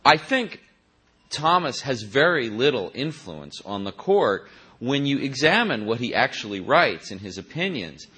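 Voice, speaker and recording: A man, a journalist, answering interview questions in a halting way, with many restarts and a dry, wry edge. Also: medium pitch, sing-song, bright, forceful tone, husky. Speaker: journalist and author.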